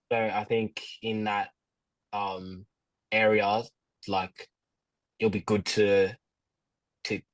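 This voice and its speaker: Young man, lowish pitch, fairly flat delivery, halting, understated, prospective client. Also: dry recording, poor audio. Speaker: prospective client on a sales call.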